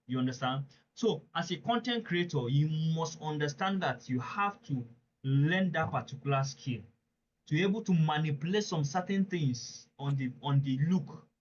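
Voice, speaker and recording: Brief: male webinar presenter, slightly high voice, earnest and emphatic, dull online-call audio. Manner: earnest, emphatic, addresses listeners directly with questions. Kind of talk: live webinar teaching.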